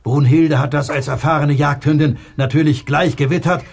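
An actor playing a gruff, stubborn old man with a husky voice and an insistent, indignant manner in an audio drama. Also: a little high, steady tone, moderate pace. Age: older.

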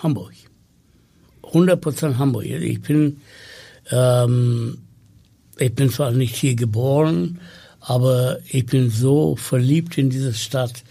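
Slow, gravelly man. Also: mid-range voice, dark tone. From Sri Lanka. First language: Tamil. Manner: slow and hesitant with many fillers. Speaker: podcast guest. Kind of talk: conversational interview.

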